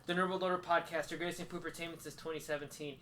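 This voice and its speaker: A man's voice: fairly high pitch, flat delivery, racing, pushed and bright, a little hiss or hum. A man hosting a casual group podcast, bantering with his co-hosts. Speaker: podcast host.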